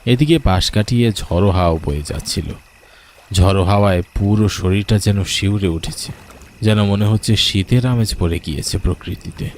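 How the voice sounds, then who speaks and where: Low-voiced man narrating a horror story. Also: normal speed, a little mellow, a little hiss or hum. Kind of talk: scripted first-person horror story narration.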